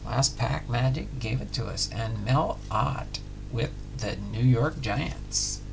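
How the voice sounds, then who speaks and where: A middle-aged man calmly calling out each trading card as he opens packs at home. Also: mid-range voice, vocal fry, background noise. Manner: unhurried, announcing each card plainly. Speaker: card-breaking channel host. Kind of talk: casual pack-opening commentary. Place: home room with card displays.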